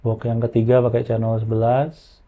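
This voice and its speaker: A man explaining software in an informal, hands-on tutorial, using colloquial tags as he goes. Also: mid-range voice, completely flat delivery, normal speed. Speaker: audio software trainer.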